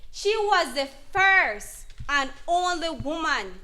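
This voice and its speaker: A woman's voice: high voice, lively intonation, slow, pushed and bright, heavily acted. A woman playing an earnest, emphatic advocate who counsels an abused mother in an educational dramatization. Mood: grave, emphatic. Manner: emphatic, instructive. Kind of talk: educational dramatization, deliberate explanatory delivery.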